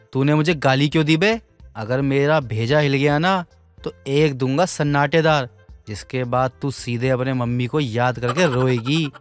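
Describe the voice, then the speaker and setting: A man's voice: mid-range voice, dry recording, lightly acted. A man playing a cheeky young college student in a cartoon story, mocking and blustering in street slang. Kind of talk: Hindi animated moral story dialogue.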